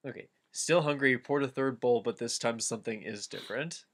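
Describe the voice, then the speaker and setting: A man's voice: medium pitch, a little fast, a bit bright. Man reading fan fiction aloud, casually. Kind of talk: dramatic reading with casual riffing commentary.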